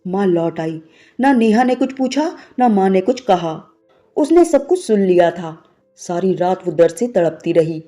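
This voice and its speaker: Woman, mellow voice, storyteller, scripted sentimental narration, medium pitch, soft music behind. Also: lively intonation, a little slow. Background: background music.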